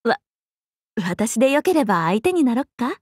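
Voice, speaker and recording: A woman voicing a playful, cheerful young swordswoman in an anime mobile game, breezy, expressive and teasing like a big sister. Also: on the high side, moderate pace, breathy edge, no room sound, clean studio sound. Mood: surprised, chatty. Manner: breezy, expressive, teasing, casual with sudden formal turns.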